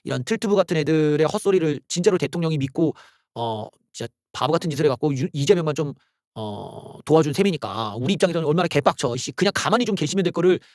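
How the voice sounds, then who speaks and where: A male YouTube host delivers a heated, sarcastic political rant, cursing and exclaiming as he goes. His voice sits high and swings widely in pitch. The sound is dry, with no room echo. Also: lively intonation, quick pace, darkish tone. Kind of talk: solo political commentary rant.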